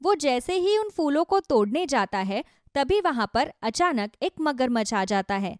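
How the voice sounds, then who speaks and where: High, animated woman storyteller. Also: measured pace, no room sound, studio quality. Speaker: story narrator. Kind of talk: scripted children's story narration.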